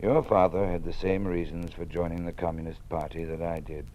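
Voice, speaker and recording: An actor playing a weary, cautious old college dean in an old-time radio drama, speaking in a measured, formal, fatherly manner. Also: low voice, fairly even intonation, darkish tone, dull audio, lightly acted. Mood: weary, confiding. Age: older. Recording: noisy vintage radio drama recording.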